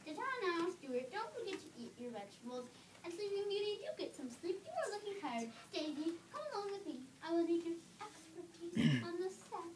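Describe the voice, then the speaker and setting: A woman's voice: very high-pitched, very animated, fairly quick, a little mellow. A young woman playing a vain, fame-hungry royal lady in an amateur stage reading, grand, breezy and affected. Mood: breezy, condescending. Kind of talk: amateur reader's theater performance. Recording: distant echoey noisy room recording.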